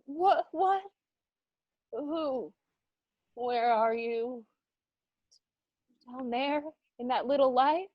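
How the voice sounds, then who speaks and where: A woman playing a bewildered, distraught mother in a radio drama, speaking in a breathless, halting way. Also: sits high, dry recording, lo-fi sound, heavily acted.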